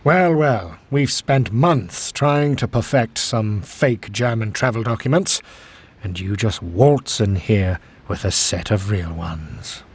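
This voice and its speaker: An actor playing a proper, affable British major held prisoner in a video game, polite and understated, with a British accent. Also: male voice, fairly low pitch, animated, slow, breathy edge, full character voice. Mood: pleasantly amazed. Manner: polite, stiff-upper-lip, understated. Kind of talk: video game cutscene acting. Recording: music under the voice.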